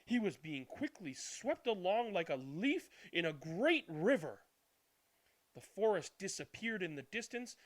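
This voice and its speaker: A man reading a children's picture book aloud as a storyteller, dramatically, with drawn-out words and hushed passages. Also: high voice. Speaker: read-aloud storyteller and channel host. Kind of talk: expressive children's picture book read-aloud, then casual chat.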